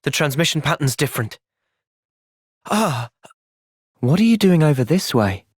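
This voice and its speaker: A man with an English accent voicing an earnest, thoughtful young soldier hero in a fantasy video game, speaking in a measured, reflective way. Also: a little high, sing-song, breathy edge, dry recording, studio quality. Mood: calm, thoughtful. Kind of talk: video game cutscene acting.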